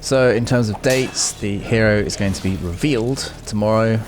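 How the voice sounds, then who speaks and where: A man's voice: lowish voice, normal speed, over music. A man hosting a gaming video, giving casual, unscripted commentary and musing aloud as he goes. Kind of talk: casual off-the-cuff gameplay commentary.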